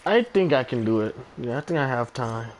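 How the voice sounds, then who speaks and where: A man's voice: medium pitch, lively intonation, fairly quick, rough recording. Male game streamer: mid-range voice, reads lines aloud, chats casually, faint noise behind. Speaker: game livestreamer. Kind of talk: reading game dialogue aloud, casual comments.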